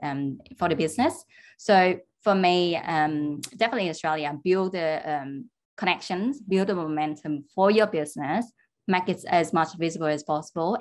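A young woman with a notably low voice speaks as a startup founder on a panel. She talks haltingly, full of fillers and restarts. She is heard over a video call. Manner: hesitant, many fillers and restarts. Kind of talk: panel discussion answer. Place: home room with virtual background.

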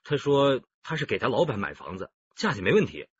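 An actor playing a cautious, joking husband in a radio drama, with a theatrical teasing manner. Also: male voice, medium pitch, very animated, a little fast, husky, no room sound, highs cut off. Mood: hesitant, wary. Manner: theatrical teasing, hesitant when worried.

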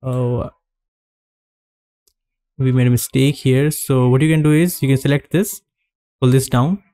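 A man narrating a screen-recorded 3D modeling tutorial, giving calm step-by-step instructions with frequent pauses. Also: mid-range voice, dry recording, clean studio sound.

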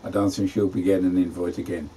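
A man's voice: low-pitched, little pitch movement, fast talker, mellow, a little hiss or hum, low-quality recording. A man, chatting casually as he shows his allotment work in a greenhouse. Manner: chatty, homely, plain-spoken. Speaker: allotment vlogger. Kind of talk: casual gardening vlog narration.

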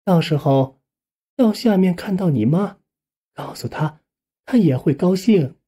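Husky, gentle, worried aunt voiced by a narrator. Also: medium pitch, animated, unhurried. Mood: tender. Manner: sighing, gentle, coaxing. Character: caring, worried middle-aged aunt.

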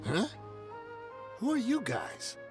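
An actor playing a shifty, nervous mercenary smuggler in a game, evasive and wheedling. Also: male voice, sits high, wildly swooping pitch, takes it slowly, full character voice. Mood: wary, hushed. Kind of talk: game voice acting, animated dialogue. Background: background music.

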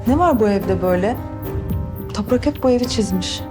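A woman's voice: medium pitch, lively intonation, moderate pace, natural voice. An actress playing an inquisitive, uneasy young woman in a naturalistic TV drama. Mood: puzzled, uneasy. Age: young adult. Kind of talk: TV drama acting, naturalistic dialogue. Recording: clear music under TV drama dialogue.

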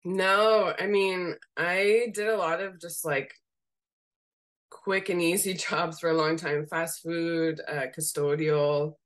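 A young woman from Seattle with a slightly raspy voice, chatting casually as a guest on a video call and laughing often as she talks. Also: sits low. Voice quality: raspy voice today. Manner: laughs often while talking, relaxed and candid. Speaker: guest, graphic designer. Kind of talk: casual interview chat. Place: home room.

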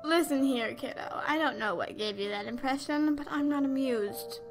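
A teenage girl voicing a gruff, dismissive cynic who talks down to children in a dramatic monologue. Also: high voice, moderate pace, soft background music. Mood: unamused. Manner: curt, condescending. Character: gruff, dismissive cynic scolding a little kid.

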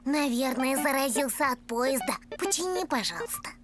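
Eager, high little puppy kid. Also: female voice, expressive, normal speed, over loud music. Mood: pleading. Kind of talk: animated cartoon acting.

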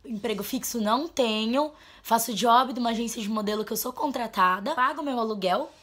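A young woman, talking into a camera in a small bedroom. Her voice sits a bit high, and she is blunt and emphatic. She drops low, then turns loud to drive a point home. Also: a little fast. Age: 20s. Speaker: vlogger. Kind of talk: heartfelt vent to camera. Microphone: camera mic at close framing.

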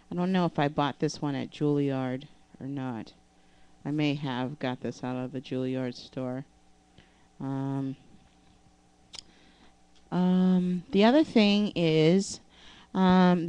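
Deep-voiced woman vocal coach, slow. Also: a little mellow, clear, resonant tone. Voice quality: low speaking voice. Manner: halting, many fillers and restarts. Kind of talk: casual vocal lesson vlog. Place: living room with couch and keyboard. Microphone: handheld microphone.

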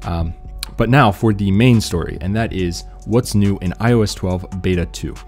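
A man's voice: on the low side, normal speed, darkish tone, faint music behind. A man giving casual, laid-back tech news commentary in an informal, slangy way.

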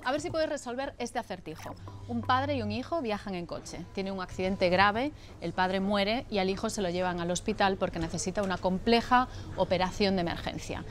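A woman in a played video clip, reading out a riddle in a clear scripted narration. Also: medium pitch, fairly quick.

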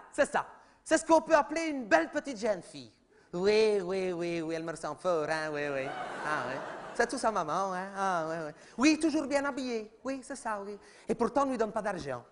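A man doing a high-pitched, chattering mother. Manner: rambling, gossipy, repeats "oui, oui", talks over others. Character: chatty, oblivious middle-aged mother at a school meeting. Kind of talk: comedy sketch monologue.

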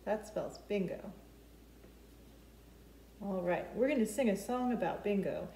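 A woman in her forties leads a storytime for small children. Her tone is mellow and her pace is slow, with simple explanations and questions. It sounds like a home recording at a short distance, with room echo and some clear background noise. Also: medium pitch, animated. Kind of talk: speaking to preschoolers, instructional. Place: living room with open kitchen at home.

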